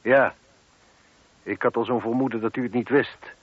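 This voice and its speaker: A man's voice: medium pitch, steady tone, moderate pace, breathy edge, close to an everyday voice. A man acting a methodical police inspector in a Dutch radio play. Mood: pressing. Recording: dull, muffled old radio recording.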